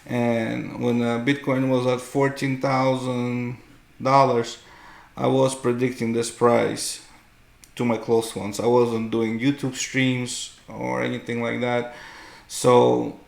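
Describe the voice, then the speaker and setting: Slow, gravelly man explaining charts. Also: mid-range voice, flat delivery. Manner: explanatory, often checks with "right?". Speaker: host, market analyst. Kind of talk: unscripted chart tutorial commentary. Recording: roomy, echoing room sound.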